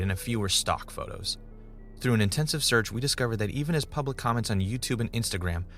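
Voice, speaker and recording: A man's voice: lowish voice, a bit bright, lightly acted. A man playing a pessimistic, suspicious gamer, telling his story as introspective, dramatic first-person narration in a fiction podcast. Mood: confiding. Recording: music under the voice.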